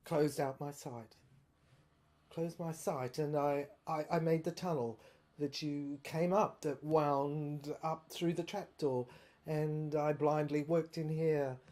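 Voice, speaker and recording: A woman playing an obsessive, haunted inventor shut away by soldiers in an audio drama monologue, speaking in a halting, rambling, theatrical way with repeated words. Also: low voice, fairly even intonation, mellow. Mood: haunted, subdued.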